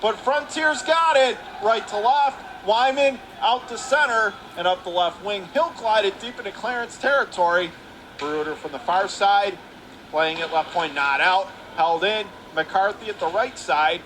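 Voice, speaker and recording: A man calling live hockey play-by-play on radio in clipped running phrases with excited calls. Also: sits high. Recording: noisy live rink recording.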